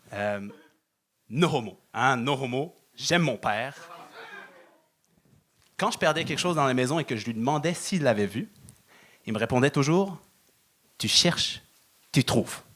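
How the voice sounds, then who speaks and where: A young man doing stand-up on a club stage, deadpan, stalling with fillers. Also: medium pitch, a little hiss or hum. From Moroccan family. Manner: deadpan, halting with many fillers. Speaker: stand-up comedian. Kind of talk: stand-up set.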